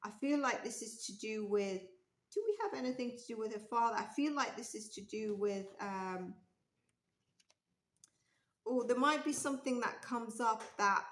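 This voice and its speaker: A woman's voice: fairly high pitch, moderate pace. A woman giving a casual tarot reading, musing aloud with many ums and restarts, her voice a little strained. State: losing her voice. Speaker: tarot reader. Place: indoors at a table with candle and cards. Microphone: overhead camera, hands only. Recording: roomy echo.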